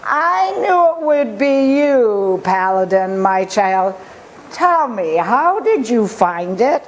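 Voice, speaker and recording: A woman voicing a gentle, fatherly village maker in a storybook, with a solemn, measured manner. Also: fairly high pitch, sing-song, slight background noise, poor audio. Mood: gentle, knowing.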